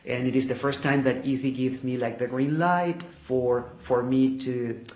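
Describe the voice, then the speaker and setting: A man whose first language is Spanish speaks casually to the camera about his study videos. His delivery is halting, full of fillers and restarts, at an ordinary mid pitch. The room around him is echoey. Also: steady tone, a little mellow. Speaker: Bible study teacher.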